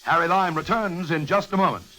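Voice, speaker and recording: A man's voice: high-pitched, a little fast. A man announcing a radio drama in an old-time broadcast style. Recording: old radio recording, music behind. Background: loud music.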